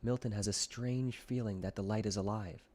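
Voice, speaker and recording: A man's voice: fairly low pitch, fairly even intonation, normal speed, a little mellow. A man narrating a scripted children's audiobook as its storyteller.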